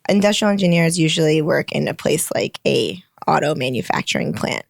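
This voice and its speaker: A woman in her late twenties talks through her engineering career as a podcast guest, explaining things patiently and laughing easily. Her voice sits low with a gravelly fry, and the recording is close and dry. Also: animated, fairly quick, dry recording. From Michigan, United States. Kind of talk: conversational interview.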